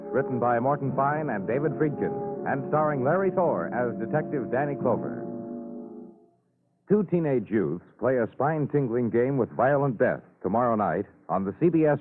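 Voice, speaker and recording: A male announcer from 1950s radio with a mid-pitched, sweeping, emphatic delivery. He reads promotional copy in polished dramatic style over faint music, with the thin sound of an old broadcast. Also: phone-quality sound.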